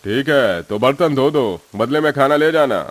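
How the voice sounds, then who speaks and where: A man playing a gruff, burly eatery owner in an animated story, speaking brusquely. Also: medium pitch, moderate pace, no echo, natural voice. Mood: relenting.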